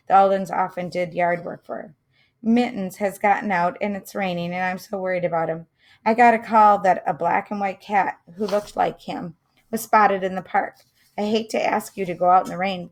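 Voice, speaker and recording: A woman reading a children's mystery chapter aloud, pausing to comment and wonder aloud to her listeners. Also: mid-range voice, vocal fry. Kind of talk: read-aloud storytelling with asides.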